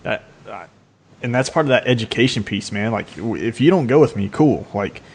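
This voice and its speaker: A man with an average-pitched voice hosts a podcast. He talks in a loose, conversational way, as in casual chat among friends. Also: expressive, a little fast, a little hiss or hum. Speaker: podcast host.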